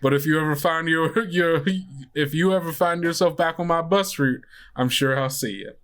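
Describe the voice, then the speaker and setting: A man's voice: on the high side, normal speed, fairly bright tone, clear, resonant tone, pitched above the performer's own voice. Man voicing Lucy, a folksy, plain-spoken bus driver woman. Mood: friendly, blunt.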